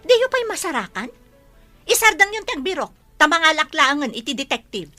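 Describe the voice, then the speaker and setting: Actress as a shrill, haughty woman boss. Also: sing-song, fairly quick, faint music behind, full character voice. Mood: demanding, scornful. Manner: haughty, sharp-tongued. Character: imperious, scolding rich woman boss, impatient villain. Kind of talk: Ilocano audio drama acting.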